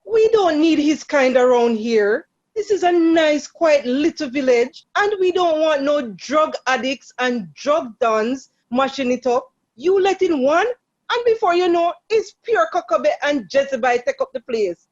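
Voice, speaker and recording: A woman's voice: sits high, expressive, measured pace, muffled recording. A woman playing a prim, self-righteous village churchwoman in a radio drama. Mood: indignant.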